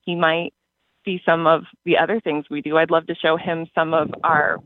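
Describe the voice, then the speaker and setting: A man's voice: a little high, little pitch movement, moderate pace, no echo, phone-quality sound. An American man who works for a library system, answering interview questions conversationally.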